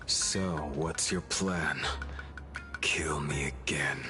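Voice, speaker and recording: An actor playing a grim, world-weary deathless swordsman in a video game, speaking tersely and deliberately in a hushed, breathy voice. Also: very deep voice, fairly even intonation, over music, exaggerated voice. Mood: grim, dry. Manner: terse, brooding, slow and deliberate. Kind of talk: video game voice acting, cutscene dialogue.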